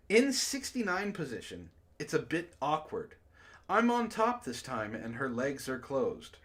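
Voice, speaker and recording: An animated male host reads prose aloud for a joking book club. His pitch swings widely as he moves between narration and exclaiming asides, and he breaks easily into laughter. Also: slow.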